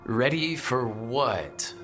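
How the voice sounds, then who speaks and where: A heroic leader in a fantasy game, steady and reassuring. His voice sits at a middle pitch, plain and encouraging, over faint music. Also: extremely slow. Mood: focused. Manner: calm, encouraging, plain-spoken. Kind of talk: video game voice acting.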